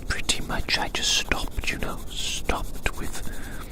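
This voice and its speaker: An ASMR performer speaking in a breathy, unvoiced whisper, softly musing to the listener and gently repeating phrases in a roleplay. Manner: hushed, slow repetition of phrases, gently musing. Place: outdoors.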